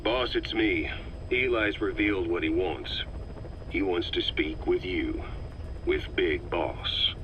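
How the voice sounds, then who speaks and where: An actor playing a hard, suspicious military security officer in a video game, speaking in a clipped, commanding way over a radio. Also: male voice, sits low, slow. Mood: grave, determined. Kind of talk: dramatic video game voice acting. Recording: band-limited radio with faint hiss.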